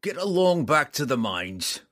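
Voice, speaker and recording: A man voicing a gruff, proud old male dwarf who talks loud and slow. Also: on the high side, sing-song, voice pushed up, heavily acted.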